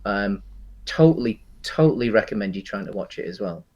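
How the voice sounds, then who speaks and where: Low-pitched British man, halting casual talker. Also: animated, darkish tone, highs cut off. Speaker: podcast co-host. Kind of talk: casual film and games discussion.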